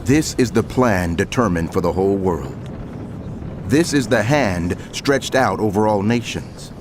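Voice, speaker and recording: An actor playing a prophet narrator, solemn and declamatory, in dramatic scripture narration. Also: male voice, fairly low pitch, lively intonation, a little slow, background noise. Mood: solemn declaiming. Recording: music under the voice.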